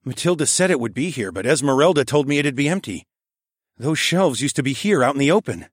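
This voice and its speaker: A determined, eager fantasy hero who leads others, as acted out by a man narrating an audiobook. The voice is male and medium in pitch, recorded dry and close. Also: expressive, fairly quick, no room sound, lightly acted. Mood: earnest. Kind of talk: audiobook character dialogue.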